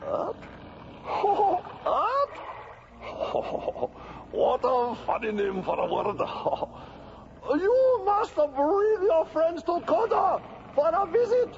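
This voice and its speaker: An actor playing an alien warlord turned genial and dreamy, doddering and effusive in old-time radio drama style. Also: male voice, extremely high pitch, very animated, drawn-out words, exaggerated voice. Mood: delighted, amazed. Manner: doddering, effusive, full of vague murmurs. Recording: noisy, dull vintage radio recording.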